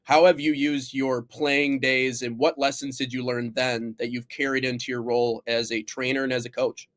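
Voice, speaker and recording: A man's voice: mid-range voice, level tone, moderate pace, a bit bright. Encouraging male talk show host. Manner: encouraging, with frequent short affirmations. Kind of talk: interview questions.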